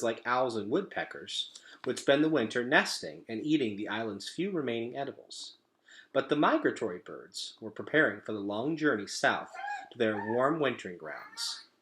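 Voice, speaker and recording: A man with a mid-range voice reads a story aloud for schoolchildren. His storytelling is deliberate and expressive, like a teacher reading to a class. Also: medium pitch, a little hiss or hum. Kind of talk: storybook read-aloud for children.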